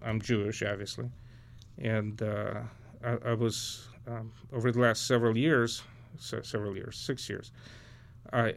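A man's voice: on the low side, creaky. A middle-aged man from Ukraine, speaking as an interview guest in an earnest, reflective way and often searching for words. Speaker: guest, nonprofit founder. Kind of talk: conversational interview.

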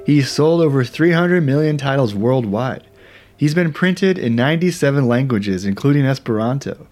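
A man's voice: mid-range voice, animated, moderate pace, darkish tone, faint music behind, lightly acted. A man playing a wry, aggrieved investigator, narrating an audio drama thriller with sardonic, dramatic emphasis. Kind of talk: scripted first-person thriller narration.

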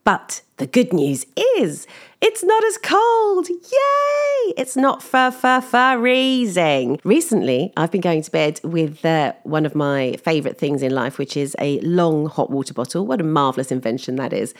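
Croaky chatty woman vlogger. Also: low-pitched, sing-song, measured pace. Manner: chatty, self-deprecating, laughs while telling stories. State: slightly croaky from lack of sleep. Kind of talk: casual chatty monologue.

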